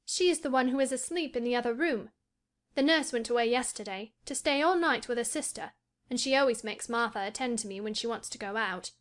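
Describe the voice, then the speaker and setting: A woman voicing a frail, shy invalid boy who speaks softly and drowsily. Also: fairly high pitch, fairly quick, pitched above the performer's own voice, natural voice. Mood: drowsy. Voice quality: soft and drowsy.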